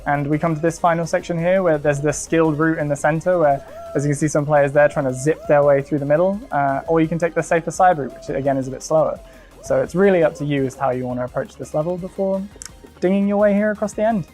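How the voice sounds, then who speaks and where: A man's voice: a little high, fast talker, a little mellow, soft background music. A man speaking as a guest, giving chatty, effusive commentary on gameplay in a casual way.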